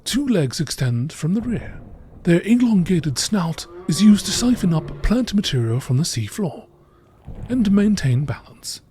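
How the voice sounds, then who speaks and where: A man reading scripted nature documentary narration aloud in a measured way. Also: high voice, big pitch swings, mellow, a little hiss or hum.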